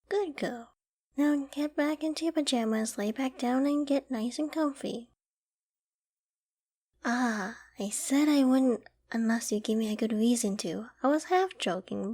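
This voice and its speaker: A woman playing a caring, affectionate and gently teasing girlfriend in an ASMR roleplay, with a coaxing, playfully flustered manner. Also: fairly high pitch.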